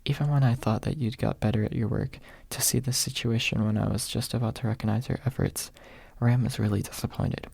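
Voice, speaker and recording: Man reading as a haughty, husky maid. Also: mid-range voice, steady tone, brisk, hardly any pauses, a little mellow, no room sound. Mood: disapproving. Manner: dry, dismissive, refers to self in third person. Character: haughty, blunt young pink-haired maid, a woman. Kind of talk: ASMR audiobook character reading.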